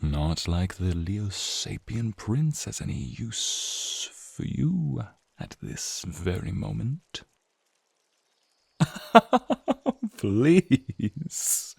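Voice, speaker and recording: Man voicing a slow, husky, deep serpent-man. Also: no room sound, heavily acted. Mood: teasing, flirty. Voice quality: soft, breathy, husky. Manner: teasing, courtly, drawn-out phrasing. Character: flirty serpentine naga prince, suave, protective, wistful beneath. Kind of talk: ASMR roleplay acting addressed to the listener.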